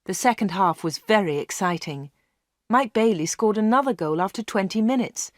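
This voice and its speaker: Brief: woman narrator, slow and clear for learners, dry close studio mic. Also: mid-range voice, expressive, no room sound. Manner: clear and measured. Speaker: audiobook narrator. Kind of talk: slow read-along storytelling for learners.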